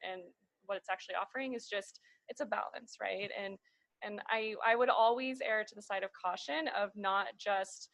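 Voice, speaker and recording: A woman's voice: medium pitch, normal speed, fairly bright tone, no room sound, low-quality recording. A woman speaking as a marketing panelist, giving advice in a casual professional discussion.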